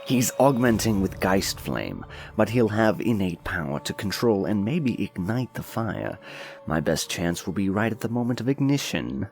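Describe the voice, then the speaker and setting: A man's voice: fairly low pitch, big pitch swings, normal speed, darkish tone, faint music behind. A man playing a cynical, aloof fantasy lord and mage, speaking with dry, unruffled condescension in an audiobook. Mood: dry, dismissive. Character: cynical, aloof male demon-keeping lord and mind mage. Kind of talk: dramatized fantasy audiobook acting.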